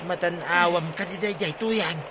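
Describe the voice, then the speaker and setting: Distressed rural husband played by a male actor, Ilocano, higher-pitched, radio drama with clear background noise. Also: fairly even intonation, normal speed, natural voice. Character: distressed villager husband whose home and field collapsed. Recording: noisy radio drama with loud ambient effects.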